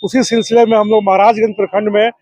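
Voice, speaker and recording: A man's voice: high-pitched, little pitch movement, fast talker, darkish tone, no echo. A man answering as a political activist, oratorical, building his points in repeated phrases and lists. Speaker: political activist, district committee member.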